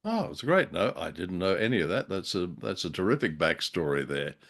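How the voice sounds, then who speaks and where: A man's voice: low voice, big pitch swings, a little fast, gravelly, fairly bright tone. A man co-hosting a podcast in casual conversation, with a curious, chatty, questioning manner. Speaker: podcast co-host.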